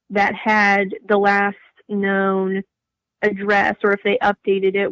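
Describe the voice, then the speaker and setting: A woman's voice: mid-range voice, vocal fry, fairly bright tone, dry recording, lo-fi sound. A young adult woman telling her personal story casually as an interview guest.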